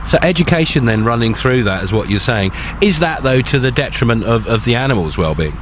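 Radio reporter on a farm. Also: male voice, mid-range voice, lively intonation, a little fast. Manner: conversational, prompting with short questions and restarts. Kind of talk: radio field interview. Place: outdoors on a farm. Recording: outdoor field recording with background noise.

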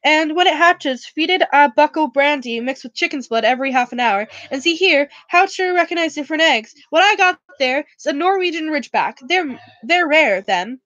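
A man's voice: very high-pitched, animated, moderate pace, hardly any pauses, bright, forceful tone, no echo, muffled recording, full character voice. A woman voicing a big, proud, bumbling half-giant gamekeeper in a storybook read-aloud, blustering in rambling bursts. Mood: enthusiastic.

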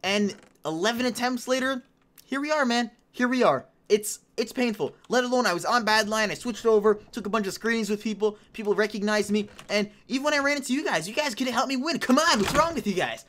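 A woman in her late teens hosting casual gameplay commentary, chatty and excitable, rambling between topics, her voice a little hoarse. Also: medium pitch. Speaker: gaming channel host.

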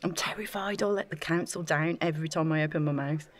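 A woman's voice: sits low, quick pace, close to an everyday voice. An actress playing an eager, self-effacing young woman in a naturalistic audio drama, talking in a chatty, gushing way. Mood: nervously confiding.

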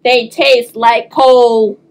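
A woman voicing a dramatic, demanding little girl from a children's storybook, exclaiming and whining in drawn-out words. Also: fairly high pitch, flat delivery. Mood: disgusted alarm. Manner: exclaiming, drawn-out, whiny complaining. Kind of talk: children's storybook read-aloud.

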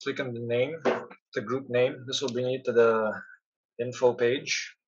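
Husky man narrating a software tutorial. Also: mid-range voice, steady tone, a little slow, muffled recording. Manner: instructional, step-by-step. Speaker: product demonstrator.

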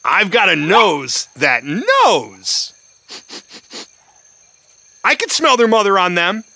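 Casting: big goofy cartoon dog, timid, husky, bright, wildly swooping delivery. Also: male voice, high voice, very animated, slow pace, dry recording, full character voice. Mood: proud. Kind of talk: children's cartoon acting.

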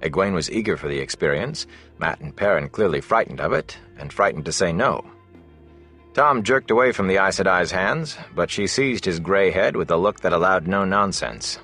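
Low male audiobook narrator reading fantasy, measured but expressive, music behind him. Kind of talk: scripted fantasy novel narration.

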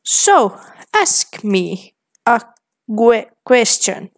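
A young woman reads her own typed chat messages aloud, one careful word at a time. Her voice sits a little high for a woman, and her delivery is very slow and deliberate. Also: sing-song. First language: Finnish. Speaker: vlogger. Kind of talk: casual commentary reading chat aloud.

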